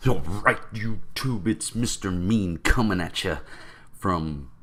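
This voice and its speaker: A man with a very low, froggy voice, thickened by a cold. He talks casually and rambles, with plenty of ums and uhs. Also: expressive, slow. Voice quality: deep, froggy voice. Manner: rambling, with many fillers. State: congested from a cold. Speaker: tabletop game vlogger. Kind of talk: casual rambling talk to camera.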